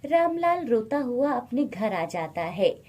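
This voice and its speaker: A woman narrates a scripted bedtime moral tale as a storyteller. Her voice sits fairly high and is slightly husky, and she takes her time. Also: very animated, slow, darkish tone.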